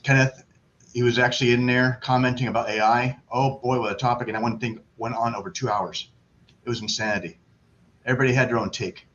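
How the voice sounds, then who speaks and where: Through muffled call audio with some background noise comes a man with a bright, forceful voice at a middle pitch. He talks casually and brashly, poking fun at himself as a livestream guest. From Florida. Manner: brash, self-deprecating, joking. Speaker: guest on an online networking livestream. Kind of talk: casual chat. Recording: dull lo-fi webcam audio, loud background noise.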